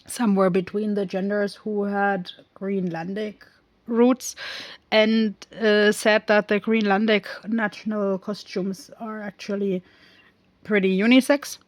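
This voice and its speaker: A woman with an unhurried, drawn-out delivery talks through a film in casual recap mode, pausing often and drifting into tangents. She speaks English as a second language. The sound is dry and close, with no room echo. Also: mid-range voice, flat delivery. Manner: rambling, goes off on tangents; hesitant, many pauses and fillers. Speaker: film reviewer.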